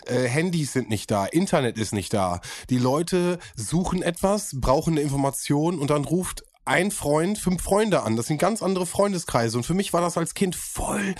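A man in his thirties co-hosting a casual podcast chat, enthusiastic and prone to excited exclamations. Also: on the high side, moderate pace, hardly any pauses, no room sound. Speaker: podcast co-host.

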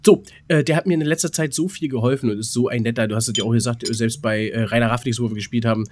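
A man's voice: medium pitch, fairly even intonation, quick pace, a little mellow, slight background noise. A man chatting casually as a podcast co-host, telling an anecdote in a rambling way and acting out remembered dialogue.

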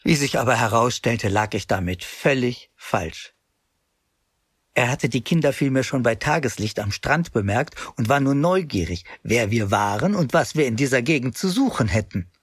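A husky, remorseful storyteller. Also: male voice, mid-range voice, lively intonation, dry recording, studio quality. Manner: measured, pious, reflective. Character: grown man recalling his guilt-ridden youth. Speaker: first-person narrator. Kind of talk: scripted radio drama narration.

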